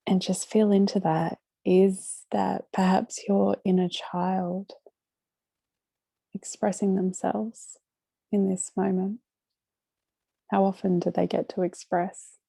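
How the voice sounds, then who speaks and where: A woman leading a guided meditation, speaking gently and unhurriedly with long pauses between short phrases. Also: medium pitch, flat delivery, creaky, breathy edge, no room sound, rough recording. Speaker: meditation guide hosting a live session.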